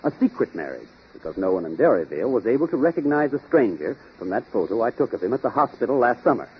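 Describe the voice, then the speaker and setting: A man's voice: mid-range voice, very animated, fast talker, a little hiss or hum, lightly acted. An actor playing a clever, methodical young detective in 1930s radio drama, explaining his logic step by step in a theatrical way. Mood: confident reasoning. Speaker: detective lead and quiz host. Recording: husky, muffled 1930s radio recording.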